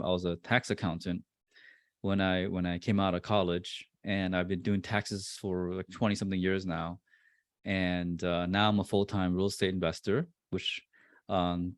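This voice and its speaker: A man in his forties with a low, deep voice answers an interviewer's questions about his career. He talks in a halting way, full of fillers and restarts. The sound is dry and close. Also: no room sound. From born in Vietnam, raised in New Jersey. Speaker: guest, real estate investor.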